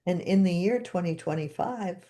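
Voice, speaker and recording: An older woman in her seventies with a low, mellow voice. She talks in an easygoing, conversational way, laughing at herself and echoing phrases back steadily. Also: normal speed. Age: 70s. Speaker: podcast host and therapist. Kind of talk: casual conversation, repeating tapping phrases.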